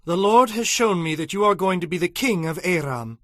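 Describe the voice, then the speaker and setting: A man's voice: high voice, lively intonation, fairly quick, a bit bright, dry recording, clean studio sound. A man playing a solemn, commanding prophet in a dramatized audio Bible, declaiming his prophecies. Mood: grave, sorrowful. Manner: declamatory, foretelling.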